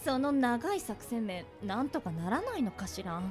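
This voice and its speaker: A woman's voice: a little high, very animated, normal speed, over music. A woman playing a haughty, ladylike, would-be seductive female monster in an amateur audio drama. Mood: dry. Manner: ladylike, imperious.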